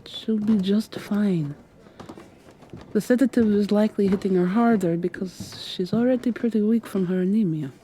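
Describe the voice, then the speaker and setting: A woman performs a gentle, anxious medic in a vampire roleplay. She sounds earnest and hesitant, with a mellow tone and a faint hiss of noise behind her. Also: medium pitch, moderate pace, natural voice. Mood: concerned, earnest. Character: anxious, gentle, caring medic helping a captive escape. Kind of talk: ASMR roleplay.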